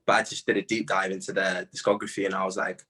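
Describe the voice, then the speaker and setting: A man in his twenties with a husky voice, a guest artist chatting casually and telling stories in animated slang. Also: sits low, quick pace. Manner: animated slangy storytelling, quoting own reactions. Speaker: interview guest, music artist. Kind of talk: casual chat.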